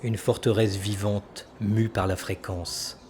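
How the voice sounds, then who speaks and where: An actor playing a calculating senator who persuades with measured authority in an audio drama. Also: male voice, fairly low pitch, little pitch movement, darkish tone, slight background noise. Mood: conspiratorial. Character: calculating, persuasive male senator and military schemer.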